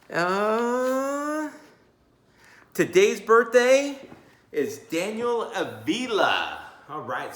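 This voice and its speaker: A man's voice: mid-range voice, a bit bright, slight background noise. A middle-aged man hosting a casual children's read-aloud, chatting to his young listeners with asides. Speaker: read-aloud host, teacher. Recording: very echoey, roomy sound.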